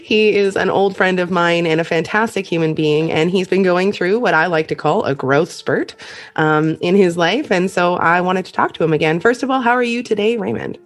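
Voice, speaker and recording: A woman hosts a casual podcast chat and talks in a friendly, effusive way. She keeps things moving with quick backchannels. Her voice sits a little low for a woman. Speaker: podcast host.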